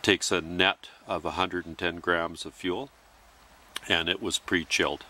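A man giving a plain, methodical how-to voice-over about refilling camping fuel canisters, pausing between phrases. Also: low voice, fairly even intonation, pushed and bright. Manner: plain, methodical explaining with pauses. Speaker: gear demonstrator. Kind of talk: instructional voice-over.

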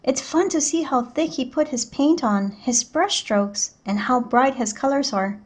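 High-voiced woman reading a children's book. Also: measured pace, breathy edge. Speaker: teacher reading a picture book aloud. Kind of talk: children's read-aloud narration.

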